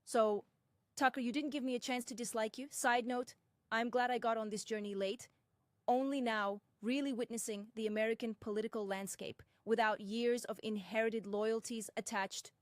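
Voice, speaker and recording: A young woman from Russia, narrating her own experience in a measured, deliberate way with frank asides. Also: a little high, fairly even intonation, dry recording. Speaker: personal commentator. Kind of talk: first-person reflective narration.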